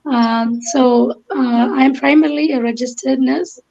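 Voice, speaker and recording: A woman from Kerala speaks with a Malayali Indian accent as a native Malayalam speaker. Her voice is fairly high with a gravelly fry, and she answers hesitantly in short phrases. The online call sounds dull and lo-fi. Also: steady tone, measured pace, warm tone. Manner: hesitant, piecing thoughts together in short phrases. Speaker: interview guest. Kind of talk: unscripted personal account.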